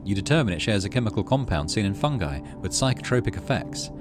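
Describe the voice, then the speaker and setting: A man's voice: fairly low pitch, animated, fast, music in the background. A man hosting a gaming video, reading story text aloud and adding muttered asides as he plays.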